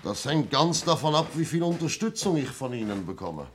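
An actor playing a stern, courteous, methodical police inspector in a 1960s German radio play, formal, measured and politely firm. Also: male voice, fairly high pitch, sing-song. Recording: faintly noisy 1960s radio recording.

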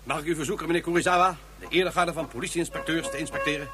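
A man's voice: a little high, fairly even intonation, racing, very noisy, close to an everyday voice. An actor playing a pompous male police inspector in a radio play, ceremonious in manner. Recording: loud music under the voice.

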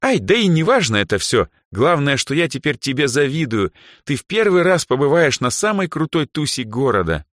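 A man voicing a bubbly, boastful young female dark elf in an audiobook, with an excitable, teasing, exclamatory way of talking. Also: on the high side, normal speed, few pauses, no room sound, studio quality, pitched above the performer's own voice. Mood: excited.

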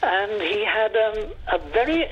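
An older man reminisces in a high, very animated voice. The recording is dull, with clear noise behind him. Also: a little slow. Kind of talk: spoken reminiscence. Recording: dull, noisy archive interview recording.

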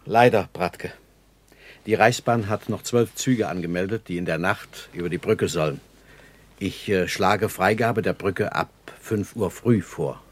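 Low, husky army engineer. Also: male voice, unhurried. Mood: matter-of-fact. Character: sober, dependable army engineer captain. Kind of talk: 1960s German radio play acting.

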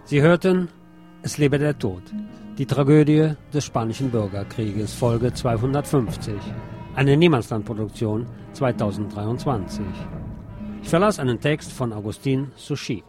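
A man's voice: medium pitch, lively intonation, unhurried, music in the background. A man reading a scripted historical documentary narration as the programme's narrator.

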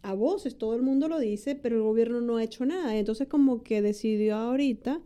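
A middle-aged woman co-hosting a podcast, giving blunt opinions in casual conversation. Her voice is fairly high yet very dark and warm, and she jumps in to finish her co-host's sentences. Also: brisk. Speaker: immigration lawyer and podcast co-host.

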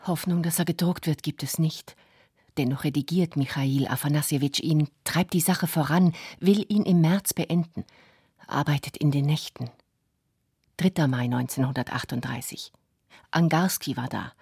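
A deep, husky voice as a writer's wife reading private diary entries. The delivery is restrained and intimate, recorded very dry for radio. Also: female voice, moderate pace, darkish tone, no room sound. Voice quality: husky, low.

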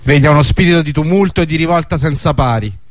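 A man's voice: on the high side, fast talker, soft background music, natural voice. A man playing a magistrate in a radio drama, formally reading out an alarmed report on riots. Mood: grave alarm. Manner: formal, declarative.

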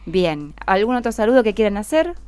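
A woman radio host with a Buenos Aires accent and a clear, smooth voice. She speaks live in the studio, putting questions to guests and passing on greetings, with music playing underneath. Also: mid-range voice, big pitch swings, a little fast, a little mellow. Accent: Argentine, Buenos Aires. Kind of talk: live radio hosting, chatting with schoolchildren and reading out greetings. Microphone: studio microphone.

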